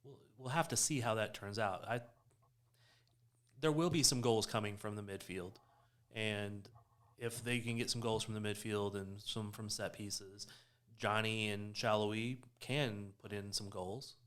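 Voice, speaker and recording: A man's voice: on the low side, steady tone, unhurried, a bit bright. An American man in a casual sports discussion, speaking as a podcast panelist.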